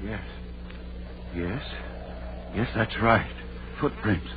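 A man's voice: on the low side, drawn-out words, breathy edge, highs cut off, heavily acted. A man playing a stern, determined small-town doctor with an American accent in an old radio drama, commanding and dramatic. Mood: urgent. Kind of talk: 1930s-40s radio drama acting. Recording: thin vintage radio recording, faint hum.

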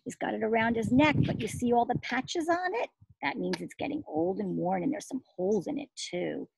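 A middle-aged woman reading a picture book aloud to young children as their teacher, expressive, asking questions and pausing for answers. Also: fairly high pitch, creaky, slight background noise, poor audio. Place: outdoors among trees and shrubs.

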